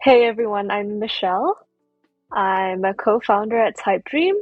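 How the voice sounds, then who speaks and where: A woman's voice: mid-range voice, expressive, unhurried, dry recording. A young woman from Indonesia, a startup co-founder, speaking plainly in an interview clip. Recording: dull muffled clip with faint music.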